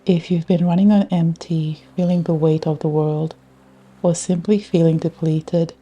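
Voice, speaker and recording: A woman guiding a scripted yoga nidra meditation in a soothing, measured way with long pauses. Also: low-pitched, steady tone, dark tone, breathy edge, muffled recording. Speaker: meditation guide. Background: ambient music with ocean sounds.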